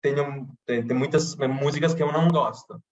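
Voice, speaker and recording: A man from Italy speaking with an Italian accent, chatting casually in a podcast interview with many pauses and restarts. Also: mid-range voice, darkish tone, muffled recording. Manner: hesitant, many restarts and fillers. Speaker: interviewee, channel host.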